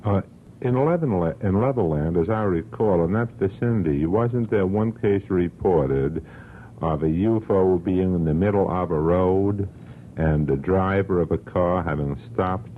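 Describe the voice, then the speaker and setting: Male panel guest, deep, courteous and tentative questioner, narrow vintage radio sound. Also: unhurried. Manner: polite, tentative. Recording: muffled old radio recording, faint noise.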